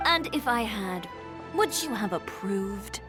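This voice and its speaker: A woman's voice: medium pitch, expressive, takes it slowly. A woman voicing a cool, confident young fashion designer in a video game, self-assured, dry and composed. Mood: dry, teasing. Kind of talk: scripted video game voice acting. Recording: background music under the dialogue.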